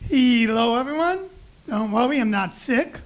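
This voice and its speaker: A young man with a high, raspy voice doing a self-deprecating deadpan stand-up set on a club stage mic. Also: unhurried. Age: young adult. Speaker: stand-up comedian. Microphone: handheld stage mic.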